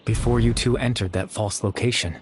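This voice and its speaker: A man voicing a young man who exists only in memory, calm and apologetic, speaking in a measured, quiet, explanatory way. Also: on the low side, a little hiss or hum, lightly acted. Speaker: game character. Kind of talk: video game voice acting.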